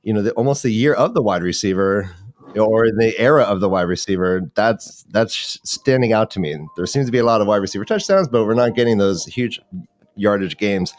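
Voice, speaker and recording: A man's voice: fairly low pitch, lively intonation, fairly quick, no echo. A man hosting a fantasy football livestream, chatting casually about sports.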